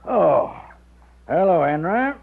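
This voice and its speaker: A blustery, commanding fifty-year-old Texan colonel, with a gravelly American voice that swings widely in pitch. He is bossy yet fond, in a thin vintage radio drama recording. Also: expressive, phone-quality sound, exaggerated voice. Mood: genial, brisk. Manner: blustery, bossy, drawn-out exclamations.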